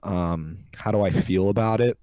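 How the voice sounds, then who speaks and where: A young man with a very low voice, talking loosely in a casual podcast chat. He rambles crudely and restarts his sentences often. The sound is dry and close to the mic. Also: moderate pace, mellow, no room sound. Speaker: podcast co-host.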